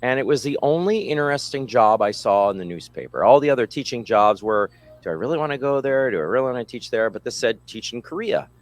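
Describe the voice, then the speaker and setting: A man's voice: medium pitch, expressive, fast talker, dry recording. A middle-aged man, telling a story in a reflective way. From United States. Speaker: interviewee, English teacher.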